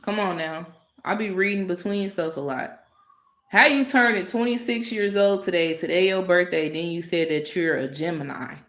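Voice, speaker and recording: A woman hosting a live stream, talking casually and directly to her chat, animated and exasperated with commenters. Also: on the low side, moderate pace, echoey room.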